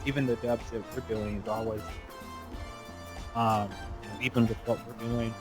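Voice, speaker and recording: A man's voice: medium pitch, flat delivery, normal speed, over music. A man hosting a podcast in casual roundtable talk. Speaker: podcast host.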